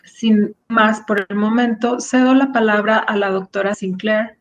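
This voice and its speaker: A woman's voice: medium pitch, little pitch movement, a little slow. A woman hosting an online event gives formal instructions in a neutral, mid-height voice. Her delivery is procedural and businesslike. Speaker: webinar presenter. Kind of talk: formal announcements.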